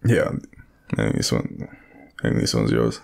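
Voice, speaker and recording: An Australian man playing a shy, easygoing young man smitten with his friend, speaking haltingly in an intimate audio roleplay. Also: extremely low pitch, lively intonation, gravelly, full character voice. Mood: bashful, relaxed. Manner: hesitant, halting, chuckling, stammering.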